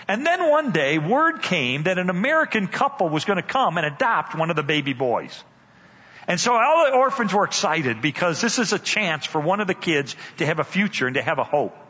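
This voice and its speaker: An older man preaches in a church, building a story with dramatic slowdowns and emphatic pauses. His voice sits a little high and swings widely in pitch, bright with a husky edge. The sound is muffled and rings with long church reverb. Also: sing-song. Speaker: guest speaker. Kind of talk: storytelling sermon. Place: church sanctuary. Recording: dull church hall reverb.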